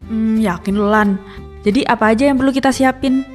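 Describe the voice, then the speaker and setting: A woman playing a snappy, sarcastic, easily offended college student with speech in an animated horror story. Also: fairly high pitch, fairly quick, soft background music, close to an everyday voice. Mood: skeptical. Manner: scoffing, confrontational.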